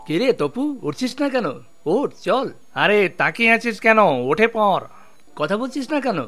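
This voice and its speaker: Man voicing an excitable, high-pitched young cartoon guy. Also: moderate pace, background noise. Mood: impatient. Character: excitable teasing young man, one of bumbling friends. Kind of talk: cartoon voice acting.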